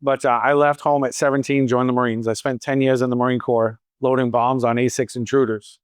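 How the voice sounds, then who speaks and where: A man's voice: mid-range voice, level tone, a little fast, clear, resonant tone, no room sound, clean studio sound. A man in his fifties from Boston, with a slight Boston accent, chatting as a salon owner who answers audience questions and tells stories with wry asides. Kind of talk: casual Q&A talk at a training event.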